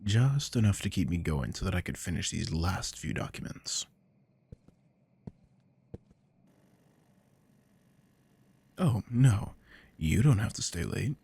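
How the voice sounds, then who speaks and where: Man playing a deep, dark-voiced domineering boss. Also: big pitch swings, moderate pace, gravelly, slightly rough, heavily acted. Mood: softer, tired. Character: possessive, weary, domineering male office boss. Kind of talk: scripted ASMR roleplay acting.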